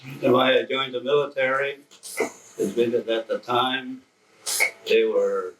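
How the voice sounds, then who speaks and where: A man's voice: medium pitch, steady tone, unhurried, background noise. A man in his nineties, a veteran guest, speaking hesitantly and stopping to search for words. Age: 90s. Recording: echoey room, mic at a distance.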